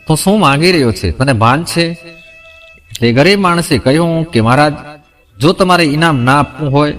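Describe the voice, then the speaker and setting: A man's voice: a little high, a bit bright. A man narrating a scripted moral folk tale as a storyteller.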